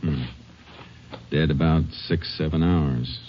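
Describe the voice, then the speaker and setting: An actor playing a calm, terse Texas Ranger investigator with a matter-of-fact manner in a 1950s radio drama. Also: male voice, very deep voice, slow pace. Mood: businesslike. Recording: muffled vintage radio recording with faint hiss.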